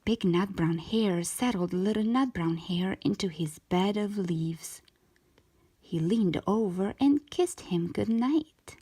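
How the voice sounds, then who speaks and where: A woman narrating a children's storybook as host, with gentle, deliberate, expressive phrasing. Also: medium pitch, husky.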